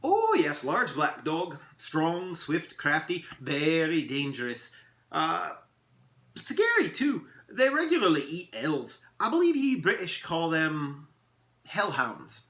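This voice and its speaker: Storybook elf: tiny, pompous, playful, high-pitched and bright, savouring every archaic word. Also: male voice, huge pitch swings, roomy sound, full character voice. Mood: chatty, courteous. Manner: theatrical, archaic formal phrasing, drawn-out delivery. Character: tiny mercurial male elf, merry, pompous, riddle-loving pipe smoker.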